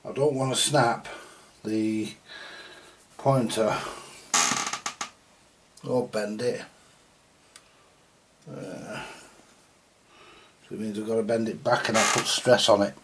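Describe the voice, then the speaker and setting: A man giving a casual, halting, mildly sweary thinking-aloud commentary as he does hands-on repair work, often muttering to himself. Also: lowish voice, steady tone, a little hiss or hum. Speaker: repairer presenting a hands-on video. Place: indoor repair workbench.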